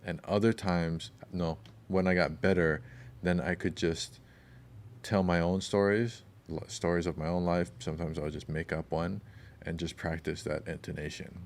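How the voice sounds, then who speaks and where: A man's voice: deep voice, level tone, moderate pace. A man co-hosting a podcast, chatting casually and telling long, rambling stories that sometimes lose their thread. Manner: rambling, storytelling, loses his thread. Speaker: podcast co-host.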